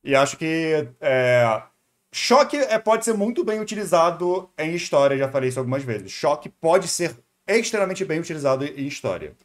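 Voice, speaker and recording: A man's voice: on the high side, sing-song, moderate pace. A Brazilian man giving casual, opinionated live reaction commentary as a streamer, thinking aloud conversationally.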